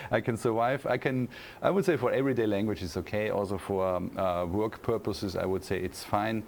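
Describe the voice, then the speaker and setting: Austrian man with a gravelly low voice. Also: fairly even intonation, a little hiss or hum. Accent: Austrian German. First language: German. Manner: thoughtful, many pauses and fillers, restarts phrases. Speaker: university lecturer, interview guest. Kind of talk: casual interview answers.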